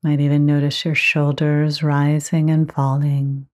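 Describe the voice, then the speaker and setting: A woman teaching guided meditation, giving gentle, measured instructions with frequent pauses. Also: sits low, flat delivery.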